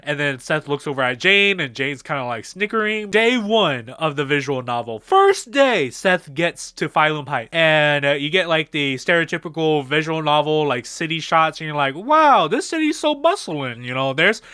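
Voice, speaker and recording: Male creator, slightly high and bright, riffing a story in casual slang. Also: animated, normal speed, hardly any pauses, clear, resonant tone, no echo, studio quality. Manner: slangy, conversational, playful. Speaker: content creator. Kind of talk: casual improvised storytelling with acted quotes.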